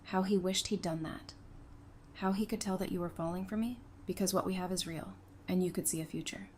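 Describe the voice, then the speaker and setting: A woman's voice: fairly low pitch, fairly even intonation, fairly quick, a little mellow, a little hiss or hum, natural voice. An actress playing a candid, caring, perceptive woman who talks casually and frankly in a fan audio drama. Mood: figuring it out.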